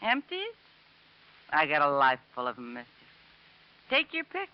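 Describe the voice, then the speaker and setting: A woman's voice: low voice, huge pitch swings, moderate pace. A woman playing a lonely, flippant, tipsy barroom regular in a mid-century radio crime drama, breezy and slangy. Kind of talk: 1950s radio drama acting. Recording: muffled, hissy old radio recording.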